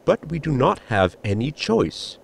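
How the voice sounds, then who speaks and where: A man voices a proper, dutiful starship crewman in an audio sci-fi comedy. The voice is husky and full of fry, with lively pitch movement and polite, exact phrasing. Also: heavily acted. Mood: polite, resolute. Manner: polite, precise, deliberate wording. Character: formal, dutiful male spaceship crewman, calm under fire. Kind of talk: science fiction comedy audio drama acting.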